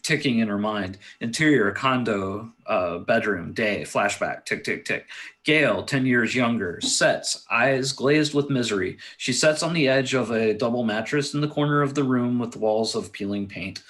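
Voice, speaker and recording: A man narrating stage directions at a film script table read, halting and sometimes stumbling over his words. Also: medium pitch, fairly bright tone. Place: indoors on a remote internet call.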